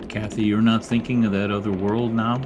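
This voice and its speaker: A man's voice: fairly low pitch, level tone, fairly quick, close to an everyday voice. Man acting a brooding young stable boy, noisy recording. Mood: brooding, tender. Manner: brooding, intense. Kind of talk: old-time radio drama acting. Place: indoor, remote video-call session. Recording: noisy remote video-call audio.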